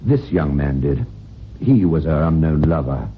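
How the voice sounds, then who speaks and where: An actor playing a calm, deliberate doctor explaining himself in an old-time radio drama. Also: male voice, a bass voice, breathy edge, full character voice. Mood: calm, explanatory. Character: calm doctor who explains catching the culprit. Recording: tinny old radio recording.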